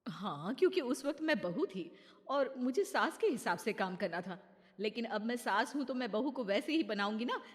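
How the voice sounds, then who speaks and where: A woman voicing a kind, understanding middle-aged mother-in-law who speaks gently and reassuringly. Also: on the high side, big pitch swings, moderate pace, big-room sound. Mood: gentle.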